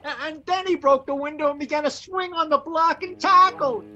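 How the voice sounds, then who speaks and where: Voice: high voice, fairly even intonation, normal speed, sharp tone, lo-fi sound. An actor playing a frightened, flustered working-class night watchman who stammers, in old-time radio drama style. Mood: panicked. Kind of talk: 1940s radio detective drama acting.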